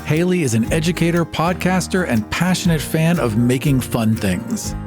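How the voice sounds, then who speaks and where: A man's voice: fairly high pitch, lively intonation, moderate pace, over music. A man hosting a podcast, moving between announcing and conversational talk. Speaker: podcast host.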